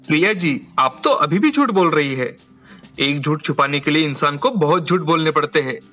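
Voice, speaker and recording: A man voicing a polite, respectful young man of humble means in an animated moral story. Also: a little high, normal speed, faint music behind. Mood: polite, persuasive.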